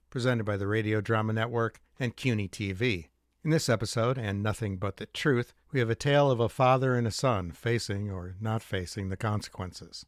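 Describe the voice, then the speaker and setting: A man with a fairly low voice presents scripted commentary as a podcast host. His delivery is measured and articulate, and the sound is close and dry. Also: no room sound.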